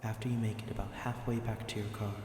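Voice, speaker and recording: A man's voice: fairly low pitch, little pitch movement, fast. A man narrating a scripted second-person story for an ASMR roleplay. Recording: very echoey, long reverb, clear background noise.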